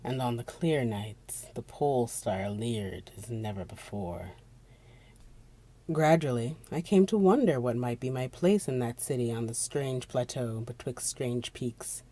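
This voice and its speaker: Mellow, theatrical horror narrator of unclear sex. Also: medium pitch, expressive, a little slow. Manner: theatrical, dropping at times into a breathy near-whisper. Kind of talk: dramatic reading of a gothic horror story.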